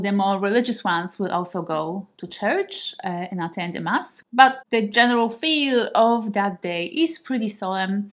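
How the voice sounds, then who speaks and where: A woman in her thirties from Poland, a native Polish speaker, talking casually to camera at home in a chatty, reflective way and laughing often. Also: mid-range voice. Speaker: vlogger. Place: home kitchen and living room, indoors.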